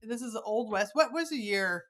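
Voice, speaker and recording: A woman's voice: a little high, animated, normal speed, a bit bright. A woman podcast host chatting casually and agreeably with a guest, her phrasing loose and conversational. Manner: agreeable, conversational, uses "like" often. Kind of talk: casual interview chat.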